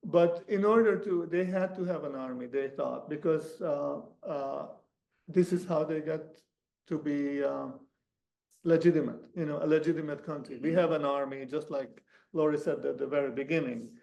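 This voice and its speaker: Gravelly man, Hungarian native, echoey room. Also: on the high side, fairly even intonation, warm tone. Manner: halting, many restarts and fillers. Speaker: guest speaker. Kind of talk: academic talk answering questions. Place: lecture room.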